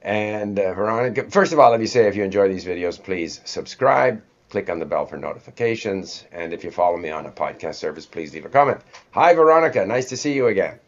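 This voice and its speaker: A man's voice: lowish voice, lively intonation, a little fast. A man with a Canadian accent hosting a conversational interview, thinking aloud in a discursive way. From born in Sweden, raised in Canada. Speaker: host interviewing a guest. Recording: dull, lo-fi audio.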